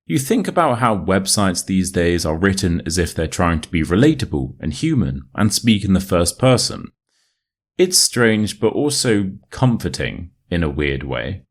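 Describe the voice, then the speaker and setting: A man with a modern RP British accent reading a short story as an English teacher, in a measured, clearly enunciated way. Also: deep voice, big pitch swings. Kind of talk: scripted story narration for listening practice.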